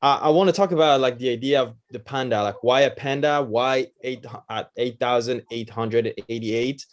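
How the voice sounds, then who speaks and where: A man hosts a podcast and puts questions to his guest at a mid pitch. He trips over restarts and fills the gaps with fillers. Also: moderate pace. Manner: hesitant, many restarts and fillers. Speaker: podcast host. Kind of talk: podcast interview.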